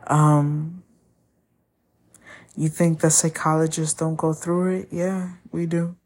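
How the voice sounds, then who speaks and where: A woman whose first language is Spanish, hosting a podcast and talking candidly about a breakup, often pausing to find her words. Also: sits low, little pitch movement, darkish tone. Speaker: podcast host. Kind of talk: personal monologue.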